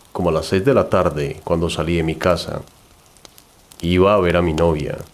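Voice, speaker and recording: A man's voice: low-pitched, a little mellow. A man narrating a scripted first-person horror story.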